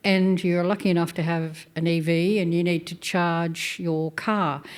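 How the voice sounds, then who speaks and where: Australian woman in the crowd, long winding question, lower-pitched voice. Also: fairly even intonation, a little slow. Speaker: audience member asking a question. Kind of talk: question from the floor.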